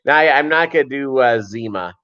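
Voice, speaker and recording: A middle-aged American man chatting casually and unscripted on a livestream, rambling and halting with a jokey manner. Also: mid-range voice, lively intonation. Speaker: fishkeeping livestream host and voiceover performer. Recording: dull lo-fi livestream audio.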